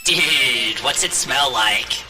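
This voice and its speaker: A man's voice: mid-range voice, animated, extremely slow, distant mic, over music, low-quality recording. A man playing an immature, joking vigilante sidekick in a video game. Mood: juvenile, teasing. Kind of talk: cinematic video game dialogue.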